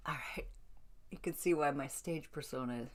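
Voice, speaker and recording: Low-pitched American woman telling stories. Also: normal speed, slight background noise. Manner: animated storytelling, acts out quoted remarks. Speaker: belly dancer telling stories of her career. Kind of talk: casual chat to a live online audience.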